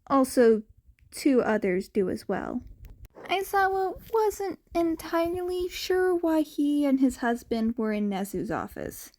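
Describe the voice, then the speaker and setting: A woman's voice: sits high, darkish tone. A woman narrating a piece of fiction from a script, as an audiobook-style reader. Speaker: podfic narrator.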